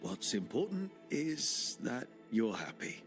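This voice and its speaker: An actor playing a steady, dryly irreverent warden hero in a video game, direct and informal in delivery. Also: male voice, mid-range voice, slow pace, soft background music. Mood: supportive. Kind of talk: video game cutscene acting.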